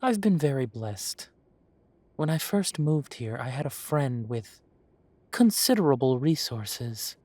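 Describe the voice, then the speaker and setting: A man playing a genial, long-lived elven café owner who reminisces in an unhurried, chatty way, in a roleplay audio drama. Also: medium pitch, big pitch swings, dark tone, no room sound. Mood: genial, reminiscing. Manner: unhurried, musing, conversational with pauses.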